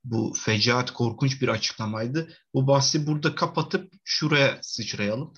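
A man with a husky, medium-pitched voice co-hosts a casual football discussion. He gives firm opinions and moves the conversation along. The recording sounds a bit dull and lo-fi. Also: unhurried, a bit bright. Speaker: football podcast co-host.

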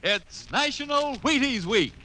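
A man's voice: very high voice, animated, unhurried, very noisy. A man delivering an enthusiastic, emphatic 1950s radio commercial as an announcer. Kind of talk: 1950s radio advertising pitch.

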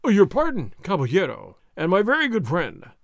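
A man voicing a loud, boastful, burly soldier sergeant who bellows and swaggers. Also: high voice, very animated, normal speed, no room sound, clean studio sound, heavily acted. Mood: blustering.

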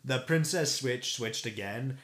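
A man's voice: medium pitch, measured pace, fairly bright tone, echoey room. A man co-hosting a podcast in casual chat, laid-back, cursing and laughing often, with a slightly stuffy nose. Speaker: podcast co-host.

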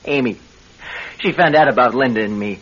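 An actor playing a scheming, two-faced husband in a radio drama, smooth-talking but nervy. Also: male voice, medium pitch, fairly quick, fairly bright tone. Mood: feigned distress. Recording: dull, noisy radio recording.

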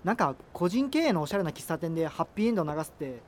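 Fast, excitable high-school music snob. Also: male voice. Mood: griping, opinionated. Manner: rambling, fussy, complaining banter. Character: opinionated high-school boy, nitpicking subculture music snob. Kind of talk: naturalistic radio drama dialogue. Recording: clearly noisy ambient background.

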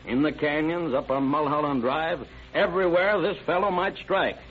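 An actor playing a stern, methodical sheriff in an old radio crime drama, speaking in a measured, formal, authoritative way. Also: male voice, on the high side, steady tone, lightly acted. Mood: commanding. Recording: tinny, noisy old radio recording.

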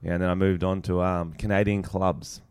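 A man with an Australian accent chats in a loose, rambling way, swearing freely. His voice sits a touch low. It is recorded very close and dry, with no room sound. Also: level tone, moderate pace, darkish tone, smooth, dry recording. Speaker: podcast co-host. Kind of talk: casual banter.

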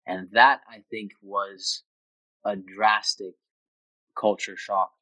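Low-voiced reflective young man. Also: little pitch movement, slow, sharp tone, no room sound, rough recording. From Austria. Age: 20s. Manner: reflective, thoughtful with frequent restarts. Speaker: interview guest. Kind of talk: casual conversation.

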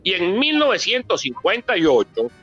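High, bright-voiced older Venezuelan man, dull recording. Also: wildly swooping pitch, moderate pace, breathy edge, faint music behind. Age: 70s. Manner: emphatic, repeats phrases for stress. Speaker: author and politician presenting his book. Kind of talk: impromptu public talk on history. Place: theatre book presentation.

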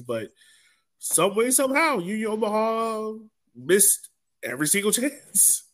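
A man's voice: on the high side, fairly bright tone. A man hosting a casual sports recap podcast in a conversational way, drawing others into the discussion. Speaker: podcast host.

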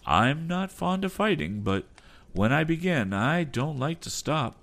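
A man's voice: a little high, very animated, a little slow, a bit bright, pitched above the performer's own voice, full character voice. A man voicing a firm, grimly warning sea captain in a storybook reading. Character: gruff male sea captain, quietly threatening.

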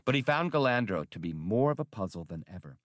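A man's voice: lowish voice, sing-song, fast talker, no echo, studio quality. A man reading a scripted fiction audiobook as its narrator.

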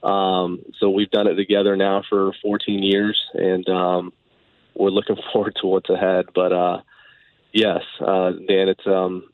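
A man's voice: low-pitched, monotone, unhurried, dry recording. A man in his thirties, a baseball manager, talking earnestly on a radio interview with lots of fillers and restarts. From United States, Dominican background. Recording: tinny phone line.

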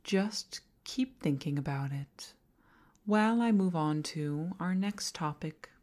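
A woman playing an obsessive older female boss in an intimate audio roleplay, coaxing with condescending sweetness and slipping into breathy half-whispers. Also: fairly low pitch, animated, slow pace, a little mellow. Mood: coy, seductive.

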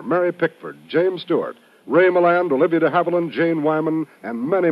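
A man with an American accent announcing in the showy, scripted style of 1950s network radio. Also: fairly high pitch. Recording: muffled old radio broadcast recording. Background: music.

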